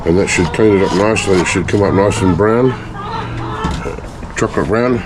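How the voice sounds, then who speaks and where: A man with a hoarse, failing voice from a bad cough, casually talking viewers through his home refining work in a chatty, rambling way. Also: sits low, normal speed, background noise. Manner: chatty, rambling, self-mocking asides. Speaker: hobbyist gold refiner and video maker. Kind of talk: casual talk-through of a lab process.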